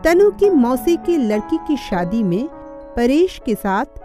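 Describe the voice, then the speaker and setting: A woman's voice: high voice, big pitch swings, takes it slowly, mellow, faint music behind. A woman narrating a scripted moral story.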